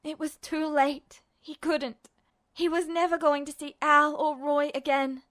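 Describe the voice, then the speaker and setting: Female narrator for dramatic fiction, high-pitched voice, intense and expressive delivery. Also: a little slow. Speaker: podfic narrator.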